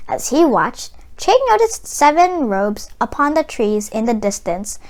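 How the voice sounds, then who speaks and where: A woman reading a children's storybook aloud in a careful, expressive storytelling delivery. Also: on the high side, a little slow. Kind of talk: expressive scripted storybook narration.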